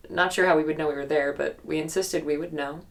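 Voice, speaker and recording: Casting: guarded young woman in investigative audio drama, very low register, fry-heavy, hesitant naturalistic storyteller carrying a haunted memory. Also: fairly even intonation. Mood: wistful, guarded. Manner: hesitant, reflective storytelling with pauses. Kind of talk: naturalistic documentary-style audio drama interview.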